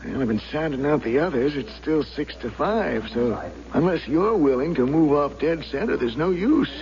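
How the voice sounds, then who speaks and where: A man's voice: a little high, expressive, moderate pace. An actor playing a weary jury foreman in a radio drama, hesitant and conciliatory as he coaxes. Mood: coaxing, weary. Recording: dull old recording, loud background noise.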